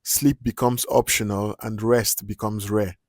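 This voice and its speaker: A man reading a news feature narration in a measured, solemn way. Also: fairly low pitch, flat delivery, no echo, clean studio sound. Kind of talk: scripted news report narration.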